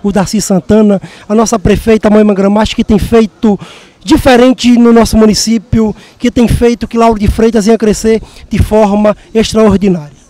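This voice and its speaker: Dark, high-pitched young Brazilian man. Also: level tone, normal speed, dry recording. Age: young adult. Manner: speechifying, formal phrasing. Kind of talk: formal laudatory street interview. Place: outdoor street. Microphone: handheld reporter microphone.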